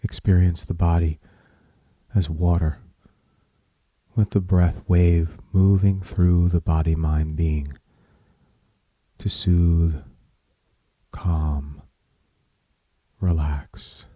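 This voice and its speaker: A man with a low, mellow voice guides a meditation at a very slow, measured pace. He speaks soothingly in short phrases, leaving space between them. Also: level tone, no room sound.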